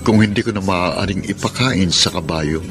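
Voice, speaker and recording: Shy lovesick bachelor in a pinoy radio drama, really low voice, music playing. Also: male voice, moderate pace, vocal fry. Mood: pining. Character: lovesick, shy, devoted bachelor, male best friend. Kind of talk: Filipino radio romance drama acting.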